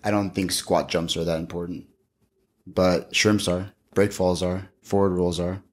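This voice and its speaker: A man in his thirties talking casually as a podcast guest, thinking aloud in a measured way and repeating words as he goes. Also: low-pitched, level tone. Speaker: guest, gym owner and martial arts coach. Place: small indoor podcast studio. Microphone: podcast microphone on a boom arm.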